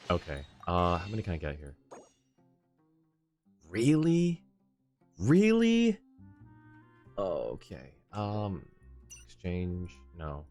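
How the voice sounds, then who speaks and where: A man's voice: low voice, darkish tone. A man doing casual livestream gameplay commentary, muttering his thoughts aloud in short bursts and talking to chat. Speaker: game live streamer. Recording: game music behind the voice. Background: video game music.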